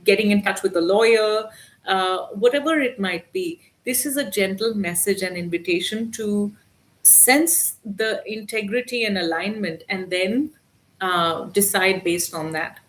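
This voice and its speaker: A woman hosting a live spiritual channeling stream, speaking softly and gently with many hesitant fillers. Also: mid-range voice.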